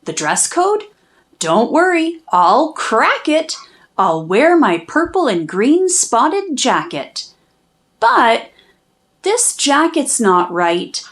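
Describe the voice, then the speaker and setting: A high-voiced woman narrates a children's picture book in verse. Her pitch swings widely and she takes her time over the lines. She leans into key words with expressive, dramatic emphasis. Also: takes it slowly. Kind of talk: storybook read-aloud, rhyming verse.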